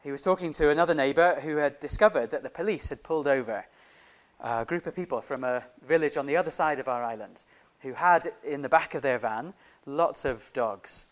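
A man's voice: a little high, fairly quick. A man who grew up in Indonesia, preaching a sermon in a conversational way, often putting questions to his listeners.

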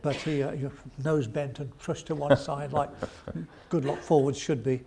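Older man, gravelly voice, fairly high pitch; rambling, chuckling interview guest. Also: steady tone, moderate pace, mellow, a little hiss or hum. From England. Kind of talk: storytelling interview.

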